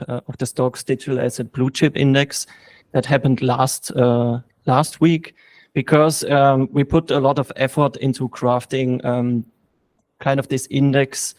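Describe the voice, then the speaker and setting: A man's voice: mid-range voice, darkish tone. A man speaking as a panelist, hesitant with many fillers. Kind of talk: panel discussion.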